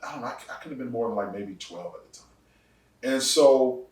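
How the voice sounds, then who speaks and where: A man in his forties, a pastor, telling his testimony in a casual podcast interview, laughing often and jumping up in pitch for punchlines. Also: moderate pace, recorded from a distance. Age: 40s. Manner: animated storyteller, laughs often, rises sharply for punchlines. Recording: echoey room, voice off-mic.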